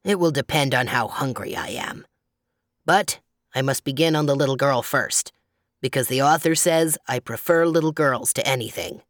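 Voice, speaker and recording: A cruel storybook grizzly as a woman reader puts him on. The voice is low and growly, with a calm, remorseless menace. The sound is close and dry. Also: normal speed, no room sound. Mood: matter-of-fact menace. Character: menacing, cruel grizzly bear, matter-of-fact about appetite.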